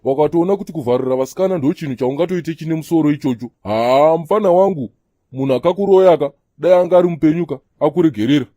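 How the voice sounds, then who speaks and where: A man's voice: fairly high pitch, rapid-fire, dark tone, dry recording, clean studio sound, lightly acted. A man voicing a preachy older Zimbabwean prophet in a comedy cartoon, holding forth to the younger men like an elder. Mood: preachy, chiding. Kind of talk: comedy cartoon dialogue in Shona mixed with English.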